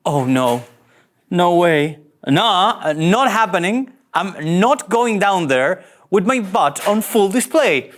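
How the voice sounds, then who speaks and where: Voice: mid-range voice, animated, slow pace. An actor playing a flustered, earnest young male starship officer in a sci-fi cartoon table read, nervous and indignant. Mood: flustered, reluctant.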